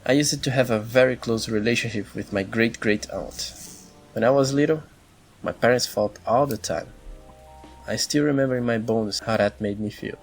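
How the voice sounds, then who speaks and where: Man acting wistful male elf, creaky voice, measured and confiding, visual novel fandub, music behind. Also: medium pitch, natural voice, rough recording. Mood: nostalgic, confiding. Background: background music.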